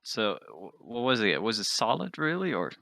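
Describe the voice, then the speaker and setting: A man's voice: fairly low pitch, sing-song, normal speed, gravelly, bright, forceful tone, no room sound, studio quality. A man taking part in a tabletop game as a player, chatting casually. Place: close, dry mic in an online game session.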